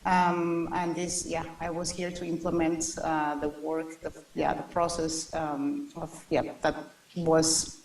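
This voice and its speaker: A woman in her thirties, a researcher, introducing herself haltingly at a panel discussion. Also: deep voice, little pitch movement, darkish tone. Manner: hesitant, halting delivery. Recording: echoey, distant remote-panel sound.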